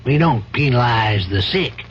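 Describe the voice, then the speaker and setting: A man playing a principled, probing judge in a radio drama, measured, earnest and lecturing. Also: medium pitch, lively intonation, fairly bright tone. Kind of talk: American radio drama acting. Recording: dull, noisy old radio broadcast.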